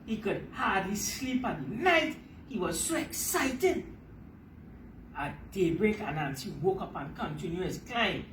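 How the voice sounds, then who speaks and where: A woman's voice: mid-range voice, animated, a little slow, fairly bright tone. A woman reading a children's story aloud as storyteller, emphatic and repeating phrases to explain them to her listeners. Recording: roomy, distant mic, faint background noise.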